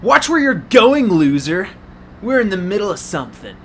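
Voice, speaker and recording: A man's voice: high voice, very animated, moderate pace, fairly bright tone, slight background noise, full character voice. A man playing an arrogant, sneering young male bully creature in a cartoon story.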